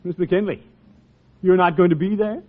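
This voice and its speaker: An actor playing a pompous, blustering middle-aged uncle in a 1940s radio comedy, theatrical and easily flustered. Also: male voice, high-pitched, moderate pace, lightly acted. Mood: flustered, wheedling. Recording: muffled 1940s radio recording.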